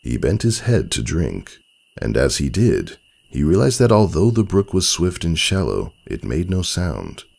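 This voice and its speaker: Very deep, gravelly male storyteller. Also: unhurried. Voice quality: gravelly, husky. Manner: expressive, literary storytelling. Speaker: narrator. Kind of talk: dramatic scripted story reading.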